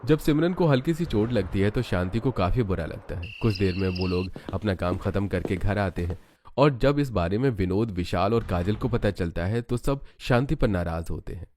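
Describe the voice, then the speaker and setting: A man's voice: fairly low pitch, expressive, normal speed, darkish tone, slight background noise. A man giving scripted narration for a cartoon story as its narrator.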